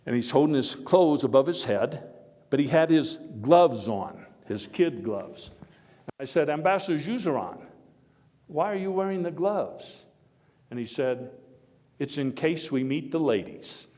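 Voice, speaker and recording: A man performs a jovial, hearty former president addressing a live audience. He speaks in folksy oratory with halting, deliberate phrasing. His voice rings through a hall with long reverb. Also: fairly high pitch, animated, a little mellow. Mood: amused storytelling. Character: Theodore Roosevelt, jovial, hearty former president telling anecdotes. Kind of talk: live historical dramatization speech to an audience.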